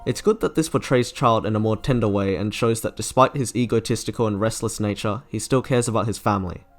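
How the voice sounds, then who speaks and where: A man's voice: lowish voice, a little fast. A man narrating a scripted video game review as an online reviewer. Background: faint music.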